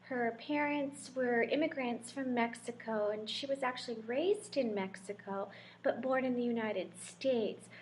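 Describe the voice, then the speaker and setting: An upbeat woman hosts a casual podcast, welcoming her guest with warm enthusiasm. Her voice sits a little high. A faint bit of room ambience runs under the recording. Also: measured pace, slight background noise. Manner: upbeat, welcoming, gushing intros. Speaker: podcast host.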